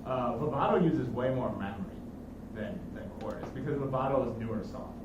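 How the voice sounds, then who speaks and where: A man's voice: mid-range voice, a little fast, far from the mic, dull audio. Man chatting in a noisy, echoey room. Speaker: course instructor. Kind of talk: casual technical chat.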